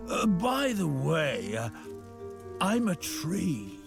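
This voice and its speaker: A man voicing a slow, rambling talking tree in a video game cutscene. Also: fairly high pitch, wildly swooping pitch, creaky, exaggerated voice. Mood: slow, friendly. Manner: hesitant, rambling. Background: game music.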